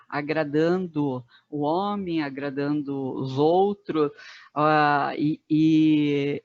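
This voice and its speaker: A woman in her fifties, a guest facilitator, speaking thoughtfully in a podcast conversation with many pauses and fillers. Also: on the low side, no room sound, lo-fi sound. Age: 50s.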